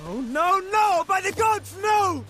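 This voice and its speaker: Very high-pitched, panicky peasant man. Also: animated, slow, music in the background, full character voice. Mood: terrified pleading. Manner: panicky, stammering. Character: frightened, pleading male peasant grain supplier. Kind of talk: video game cutscene dialogue.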